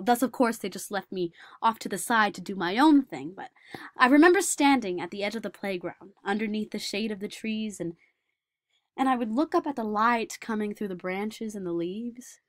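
Woman doing shy awkward savant guy monologue, kinda high, stumbles and repeats words, dry sound. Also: no echo, close to an everyday voice. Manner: halting, repeating words, swinging from whispers to nervous outbursts. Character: shy, socially awkward male savant recalling lonely childhood. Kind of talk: dramatic monologue for a speech competition.

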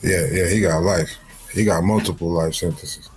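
A man's voice: low voice, fairly even intonation, normal speed, faint music behind. A man in his thirties, a podcast guest, telling his story in a laid-back, slang-heavy way over a webcam call from home. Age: 30s. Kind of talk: casual interview.

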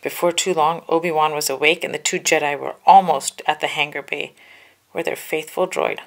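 A woman's voice: low-pitched, steady tone, moderate pace. A woman reading a children's storybook aloud with careful, dramatic narration. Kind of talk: children's book read-aloud, scripted narration.

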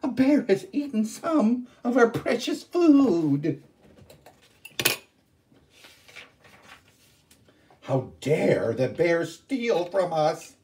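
A man doing shrill shrieking ghost sisters. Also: big pitch swings, slow, warm tone, slight background noise, exaggerated voice. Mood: indignant. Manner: shrieking, squabbling. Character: shrill quarrelsome female cannibal ghost sisters.

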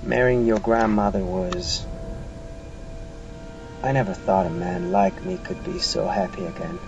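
A man voicing a weary, wistful old grandfather who tells his past slowly and reflectively in an audiobook drama. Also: medium pitch, very animated, creaky, distant mic. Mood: wistful, haunted. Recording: muffled recording with music behind.

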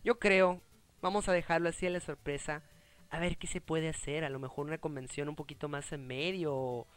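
A man's voice: a little high, fairly even intonation, brisk, fairly bright tone, smooth. A young man, chatting casually as host of a gaming show. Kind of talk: casual chat while playing.

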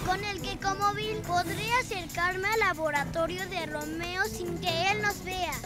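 A woman's voice: very high voice, fairly even intonation, unhurried. A woman voicing an eager, easily distracted six-year-old boy superhero in a Latin American Spanish cartoon dub, sweet and childlike. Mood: confident, eager. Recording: loud music behind the voice.

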